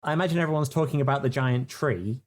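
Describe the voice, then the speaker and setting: A man's voice: medium pitch, fast talker. A man with a British accent chatting casually as a player in a tabletop game.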